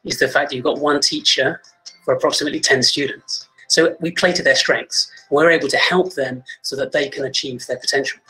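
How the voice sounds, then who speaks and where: A man's voice: mid-range voice, steady tone, brisk, pushed and bright, faint music behind, poor audio. A man from England, a teacher, speaking earnestly and proudly about his school in a promotional video.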